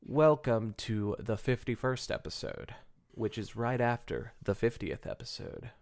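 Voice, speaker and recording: A man's voice: medium pitch, expressive, unhurried, vocal fry, a little mellow. A man hosting a casual comedy podcast, joking and rambling with some swearing. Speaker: podcast host.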